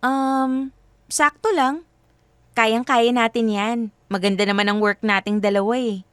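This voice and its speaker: An actress playing a devoted, generous young girlfriend in a Filipino radio drama, sweet, upbeat and expressive. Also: fairly high pitch, a little slow, clear, resonant tone, no echo. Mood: reassuring.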